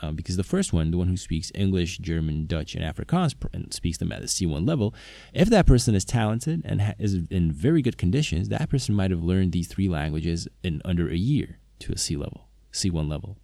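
A man's voice: low voice, expressive, a little fast, gravelly, few pauses, mellow, no echo. A man whose first language is Slovak, talking to viewers about knowing languages, hesitant, with many fillers and restarts. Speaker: polyglot video maker, interpreter. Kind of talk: casual talk to camera.

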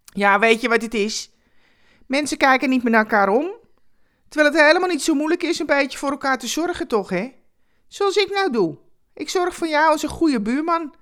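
Chatty, forward homeless boat-dweller, played by a female storyteller, pitched high, folksy and familiar. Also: lively intonation, normal speed, a bit bright. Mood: enthusiastic, chatty. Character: cheerful, chatty homeless man living on a boat. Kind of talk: storytelling character voice.

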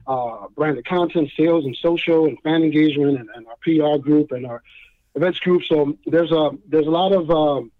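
A man from New York chats as a podcast guest over a thin, phone-like line. His voice sits a bit high, and it comes through dry with no room around it. He talks warmly and loosely, full of fillers and restarts. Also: moderate pace, no room sound. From New York, Dominican family. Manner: friendly, many fillers and restarts. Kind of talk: casual interview chat.

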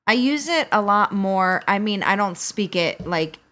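Relaxed woman, native Albanian speaker. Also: mid-range voice, measured pace. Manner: relaxed, hesitant, many fillers. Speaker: podcast co-host reading listener questions. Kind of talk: casual chat.

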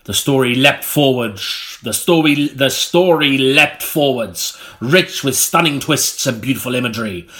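A man's voice: mid-range voice, expressive, pushed and bright. Melodramatic male audiobook narrator. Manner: melodramatic, deliberate delivery. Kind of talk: mock-serious scripted gothic narration. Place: recording studio.

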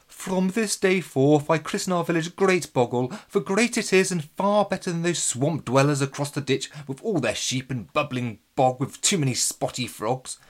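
A man's voice: fairly high pitch, moderate pace, few pauses, voice pushed up, close to an everyday voice. A man voicing a boastful, villainous lord of the manor in a storybook, proclaiming grandly. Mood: pompous.

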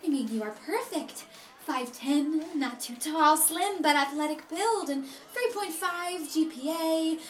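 A woman's voice: high voice, animated, a little slow, reverberant. A young woman acting an obsessive, giddy, scheming fifteen-year-old girl in love, gushing and intense, in a radio play. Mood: giddy appraising. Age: young adult.

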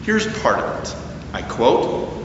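Pastor guy preaching slow, voice goes up and down a lot, big echoey church, mic kinda far. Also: mid-range voice, background noise. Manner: slow, deliberate preaching with lively rises and falls. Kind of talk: sermon. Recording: echoey church hall, distant mic, dull sound.

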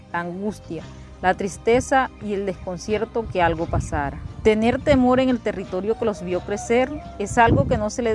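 Woman narrating solemnly over clear music. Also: mid-range voice. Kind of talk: scripted radio drama narration.

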